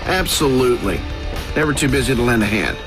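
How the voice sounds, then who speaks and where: An actor playing a wisecracking armored superhero in a video game, American, with a breezy, sardonic delivery. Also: male voice, mid-range voice, lively intonation, brisk, over loud music, natural voice. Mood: wry, sarcastic. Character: cocky, wisecracking male armored superhero inventor.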